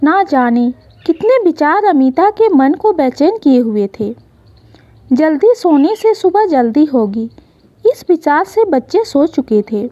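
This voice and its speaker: A woman reads an emotional story expressively. Her delivery is slow and very lively in pitch, on a dull, lo-fi recording. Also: no echo. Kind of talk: scripted emotional story narration in Hindi.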